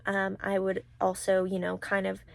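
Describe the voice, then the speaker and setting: A young adult woman giving a casual vlog-style recap, talking in an informal, halting and rambling way. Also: medium pitch, monotone, creaky. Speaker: vlogger, college program applicant.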